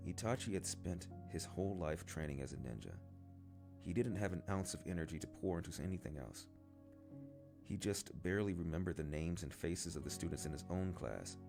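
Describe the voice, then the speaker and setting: A man narrates a novel in a deep voice with noticeable fry. His intonation is lively as he reads the scripted prose. Guitar music plays clearly underneath. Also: fairly quick. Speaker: amateur story reader.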